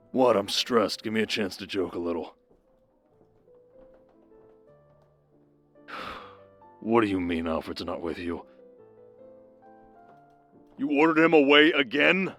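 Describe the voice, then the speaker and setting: A man's voice: on the low side, animated, normal speed, long pauses, fairly bright tone, faint music behind. A man as a commanding, sardonic baron. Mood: wry, stressed. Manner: wry, authoritative, formal. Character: commanding, sardonic baron, coldly menacing schemer husband. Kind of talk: scripted audio roleplay drama.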